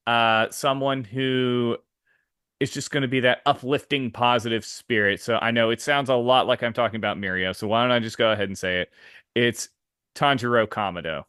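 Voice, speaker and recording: A man's voice: medium pitch, steady tone, moderate pace, fairly bright tone, clear, resonant tone, dry recording, studio quality. A man co-hosting a podcast in casual chat, talking with enthusiastic emphasis. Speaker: podcast co-host.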